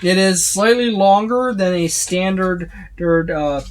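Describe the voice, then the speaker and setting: A tabletop game reviewer giving a casual, unscripted overview, speaking haltingly with repeated words and trailing lists. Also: medium pitch. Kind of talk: casual unscripted book flip-through overview.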